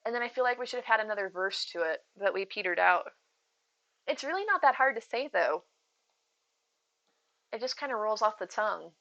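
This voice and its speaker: Woman streamer, casual, soothing and bright voice, rambling and giggly chat. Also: mid-range voice, lively intonation, fairly quick, long pauses, muffled recording. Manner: chatty, rambling, giggly, addresses chat by name.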